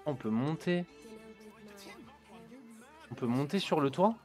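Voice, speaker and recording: A man's voice: fairly high pitch, lively intonation, slow pace, a little mellow, soft background music. A man streaming a video game, joking casually and reading in-game text aloud. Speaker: gaming streamer. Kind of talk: casual let's-play commentary.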